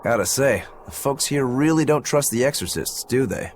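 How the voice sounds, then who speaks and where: A man's voice: mid-range voice, animated, normal speed, a little hiss or hum, lightly acted. An actor playing a laid-back, worldly male demon in a game, speaking with dry wit. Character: easygoing adult male demon, wry and worldly.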